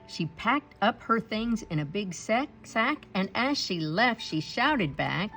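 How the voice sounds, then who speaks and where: A woman reading a picture book aloud to children in an expressive storytelling style. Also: medium pitch, takes it slowly, soft background music. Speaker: storybook read-aloud reader.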